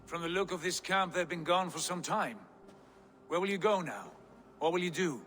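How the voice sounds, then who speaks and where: An actor playing a confident, commanding Viking jarl and proud clan leader in a dramatic video game. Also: male voice, high voice, moderate pace, fairly bright tone. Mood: probing.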